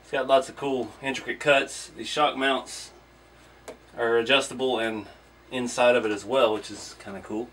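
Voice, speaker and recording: A man's voice: mid-range voice, steady tone, moderate pace, fairly bright tone, a little hiss or hum, poor audio. A man giving an informal hands-on first look as a hobby reviewer, thinking aloud as he goes. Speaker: hobby vlogger reviewing an RC truck.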